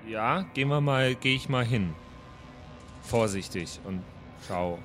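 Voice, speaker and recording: Casual male gamer over soft music. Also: medium pitch, expressive, a little slow. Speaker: tabletop role-playing player. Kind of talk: casual chat.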